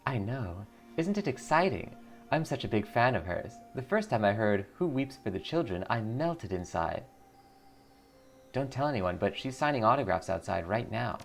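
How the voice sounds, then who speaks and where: A man's voice: lowish voice, big pitch swings, moderate pace, creaky. A man voicing a perky young female cult receptionist, earnest and eager, in video game dialogue. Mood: starstruck, excited. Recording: music behind the voice.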